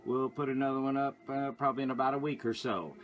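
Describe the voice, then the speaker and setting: A man's voice: mid-range voice, steady tone, fast talker, narrow, tinny audio. A man hosting a show, chatting casually as he signs off. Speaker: podcast host. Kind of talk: casual sign-off chat.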